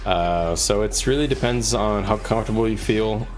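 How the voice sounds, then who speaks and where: Music plays clearly behind a man with a fairly low voice. He talks casually like a live game streamer, pausing and rephrasing as he thinks out loud while advising his chat. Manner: halting, thinking aloud. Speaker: game livestreamer.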